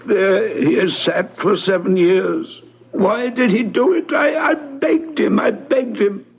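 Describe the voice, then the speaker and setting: An actor playing a loyal, elderly manservant in an old-time radio drama, pleading and deferential in a halting manner. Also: male voice, sits high, vocal fry, husky, exaggerated voice. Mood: distraught. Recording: dull lo-fi radio drama recording.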